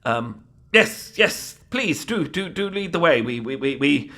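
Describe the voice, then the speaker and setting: A flustered British knight for a comic fantasy audio drama. His voice sits high and swings up and down freely. He stammers, repeats himself and stays nervously polite, like a lovestruck, adorkable bumbler. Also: big pitch swings, unhurried, pushed and bright, full character voice. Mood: hopeful, awkward. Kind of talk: comedic fantasy audio roleplay.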